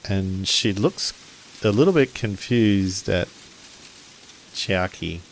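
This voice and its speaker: A man acting as game master, narrating a tabletop roleplaying game in a deliberate, halting way and relaying what characters say. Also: on the low side, animated, a little hiss or hum.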